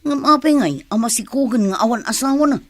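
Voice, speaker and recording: A woman's voice: on the high side, quick pace, dry recording, close to an everyday voice. A woman playing a fretful, disapproving village mother in an Ilocano radio drama. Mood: worried, disapproving.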